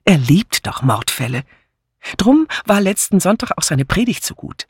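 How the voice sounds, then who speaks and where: A man's voice: sits high, very animated, moderate pace, breathy edge, no room sound, studio quality, voice pushed up, full character voice. A man voicing a chatty, scatterbrained middle-aged vicar's wife who rambles on breathlessly in an audiobook reading. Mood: gushing. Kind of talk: audiobook character voice.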